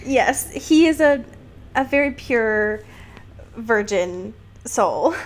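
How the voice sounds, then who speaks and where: A woman co-hosting a podcast, chatting casually about a book and retelling it in an amused, halting way with acted-out quotes. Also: on the high side, animated. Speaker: podcast co-host. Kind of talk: casual book discussion chat.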